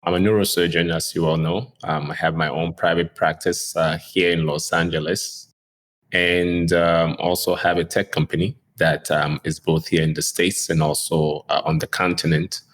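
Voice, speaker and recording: A middle-aged man from Ghana speaks in a very deep, low voice. He answers interview questions in a relaxed way, with plenty of "um" and "you know" and the odd chuckle. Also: moderate pace. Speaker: neurosurgeon guest.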